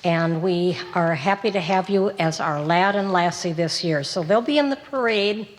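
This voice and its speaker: A woman's voice: fairly low pitch, moderate pace, long reverb tail, slight background noise. A woman emceeing a community stage show, introducing young performers. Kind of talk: stage announcements and singing.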